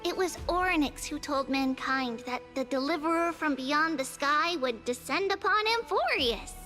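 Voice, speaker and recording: A woman's voice: high voice, expressive, moderate pace, bright, forceful tone, soft background music. An actress playing a small, childlike girl seer in a fantasy game, caring and old-souled, speaking politely and soothingly. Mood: cheerful, reassuring. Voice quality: childlike, light. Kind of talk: scripted game voice acting.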